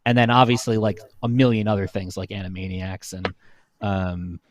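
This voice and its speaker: A man in his thirties speaking as a panelist in a casual chat, recounting things in an informal, halting, conversational way. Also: deep voice, flat delivery.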